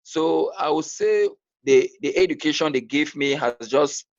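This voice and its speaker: A man's voice: a little high, measured pace, dry recording. Cameroonian man, dull dry recording. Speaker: podcast guest, YouTuber. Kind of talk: conversational personal storytelling.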